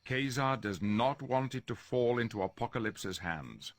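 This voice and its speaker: A man playing a composed, authoritative professor, briefing his team in a measured, formal way in a video game. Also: medium pitch, animated, dry recording. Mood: grave. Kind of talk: video game cutscene acting.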